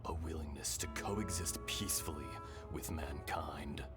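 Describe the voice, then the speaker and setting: An actor playing an ancient, gentle dragon spirit in a video game, speaking in a solemn, measured, poetic way. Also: male voice, very deep voice, fairly even intonation, loud music behind the voice. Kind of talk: dramatic scripted game dialogue.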